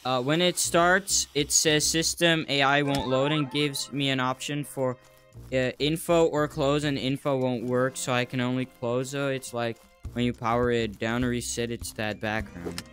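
A man's voice: mid-range voice, normal speed, soft background music. A young man streaming a VR game live, chatting casually with viewers while he plays. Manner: relaxed, chatty, answers viewers while playing. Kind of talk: casual live gameplay chat. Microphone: desk mic, wearing a VR headset.

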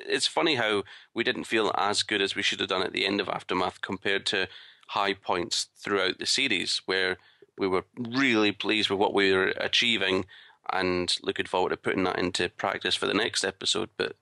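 A man's voice: low voice, steady tone, moderate pace, creaky, bright, forceful tone, no room sound, studio quality. A man co-hosting a podcast in casual, wry, joking chat. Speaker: podcast co-host.

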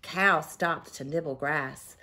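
A middle-aged woman, a preschool teacher, reading a picture book aloud to young children with expressive, deliberate storytelling. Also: deep voice, very noisy. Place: living room at home.

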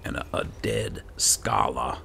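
Gravelly, very deep-voiced man, slow and low-key. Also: very animated, bright, forceful tone, slight background noise. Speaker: gamer host playing and roleplaying a fantasy game. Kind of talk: casual game commentary with read-aloud notes.

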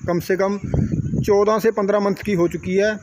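A man who owns a dairy farm, speaking in short halting phrases as he shows his cattle in an outdoor pen, filmed on a handheld phone. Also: sits high, fairly even intonation, rough recording. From Punjab, India. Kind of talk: casual farm video walkthrough. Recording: loud outdoor noise, rooster calls.

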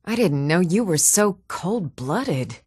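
A woman's voice: lowish voice, very animated, slow, a little mellow, breathy edge, no room sound, studio quality, heavily acted. A woman playing a stern, challenging Nord townswoman ready for a fight in a fantasy video game. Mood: resigned. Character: fierce, even-tempered Nord townswoman warrior, commoner in a fantasy world. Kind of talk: fantasy video game combat and reaction lines.

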